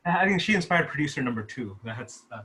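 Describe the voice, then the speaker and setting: A man's voice: medium pitch, animated, moderate pace, bright, forceful tone, a little hiss or hum. A man talking casually in a group discussion as a filmmaker, explaining his own work. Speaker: filmmaker discussing his short script at a table read.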